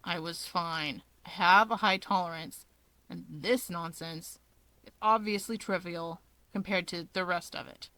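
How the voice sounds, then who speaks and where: Casting: grumpy young male villain read by a woman, lowered voice, clipped sarcastic delivery, storybook narration. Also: unhurried, dry recording, rough recording. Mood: flat, defensive. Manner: flat, curt, irritated.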